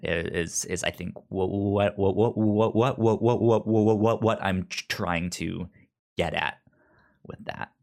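Slow stuttering man. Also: low voice, fairly even intonation, gravelly, darkish tone. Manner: heavy stutter with many repeated word starts. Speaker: podcast co-host. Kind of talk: casual review chat.

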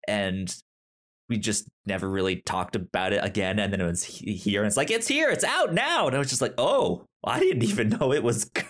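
A man co-hosting a casual pop-culture podcast, talking in a halting way with repeated words and trailing sentences. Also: lowish voice, big pitch swings. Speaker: podcast co-host.

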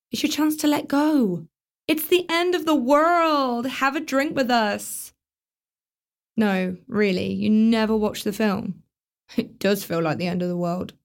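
A woman's voice: mid-range voice, lightly acted. A young woman acting a brash, sarcastic teenage girl in a radio play, teasing and showing off. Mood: mocking. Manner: sarcastic, teasing, attention-seeking.

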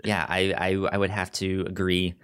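A man co-hosting a podcast in casual film discussion, halting and often repeating words as he goes. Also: sits low, completely flat delivery, a bit bright, clean tone. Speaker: podcast co-host.

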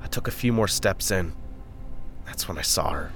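Deep-voiced, brooding convict. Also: male voice, animated, normal speed, gravelly, a bit bright, soft background music, full character voice. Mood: grim. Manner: gritty, brooding, foul-mouthed. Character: bitter, lost convict recounting his grim story. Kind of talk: scripted first-person noir-style narration in an audio drama.

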